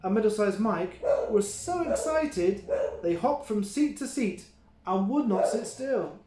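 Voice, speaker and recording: A man reading a children's story aloud like a teacher, with expressive, deliberate phrasing in an echoey room. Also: sits high. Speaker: early years teacher reading stories. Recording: roomy, echoing sound.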